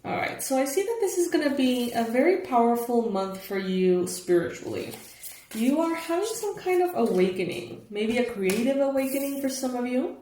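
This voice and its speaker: A young woman reads tarot for her viewers in a hoarse, raspy morning voice. She chats casually, with fillers and the odd soft laugh. The room is echoey with a faint hiss. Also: high-pitched, expressive, normal speed. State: hoarse, just woke up. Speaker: tarot reader, channel host.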